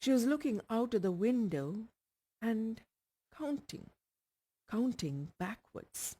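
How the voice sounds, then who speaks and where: A woman with an Indian accent reading a short story aloud, careful and expressive. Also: fairly high pitch, slow pace, husky. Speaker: story reader. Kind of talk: scripted story narration.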